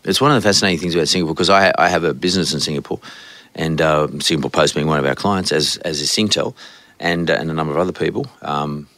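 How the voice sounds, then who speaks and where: A man's voice: rumbling low, fairly bright tone, dry recording. A man hosting a casual interview podcast, rambling with frequent restarts. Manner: rambling, quick, full of restarts. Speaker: podcast host.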